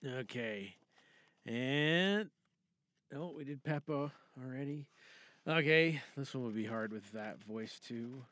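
Bright-voiced podcast host, rambling casually. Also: mid-range voice, slow pace. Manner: rambling, thinks aloud. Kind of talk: casual chat.

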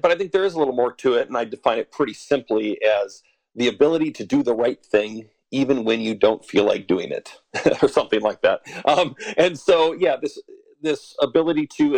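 A man's voice: mid-range voice, a little mellow, no echo, poor audio. Dry-miked man speaking thoughtfully with chuckles. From Alberta, Canada. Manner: thoughtful, chuckles often, frequent fillers. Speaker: author and editor, guest. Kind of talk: podcast interview.

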